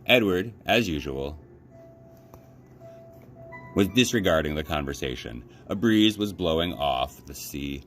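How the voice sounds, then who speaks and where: A man reading a children's story aloud as an expressive narrator, like a librarian at story time. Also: extremely low pitch, a little slow, pauses often, faint music behind.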